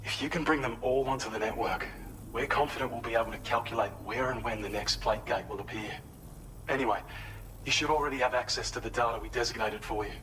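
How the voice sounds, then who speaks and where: An actor playing an earnest, helpful male researcher in a video game, giving a polite, measured, explanatory briefing. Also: mid-range voice, a bit bright, slight background noise, natural voice, low-quality recording. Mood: earnest, businesslike.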